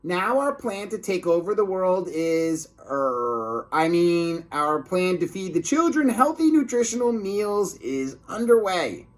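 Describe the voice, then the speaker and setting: A man voicing a very large alien woman posing as a sweet cafeteria lady, with a drawn-out, hesitant delivery in a children's storybook. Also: high-pitched, big pitch swings, voice pushed up. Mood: scheming.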